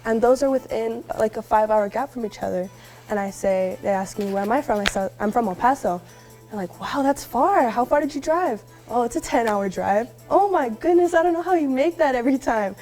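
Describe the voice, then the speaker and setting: A woman's voice: on the high side, expressive, brisk, darkish tone, soft background music. A young woman from West Texas, a university student, answering interview questions earnestly and reflectively. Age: young adult. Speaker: alumna interviewee.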